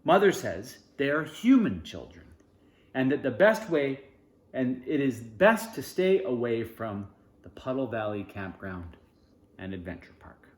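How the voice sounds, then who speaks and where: A man reads a children's storybook aloud in a medium-pitched voice with lively rises and falls. He phrases expressively, pausing between short phrases. The room adds a clear echo around his voice. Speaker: storybook reader. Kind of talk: children's storybook read-aloud with informal asides.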